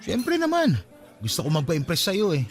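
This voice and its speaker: An actor playing an earnest, eager suitor on a date in a radio drama, speaking conversationally with a Filipino accent. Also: male voice, high voice, huge pitch swings, normal speed, faint music behind. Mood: flattered, playful. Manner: courting, conversational.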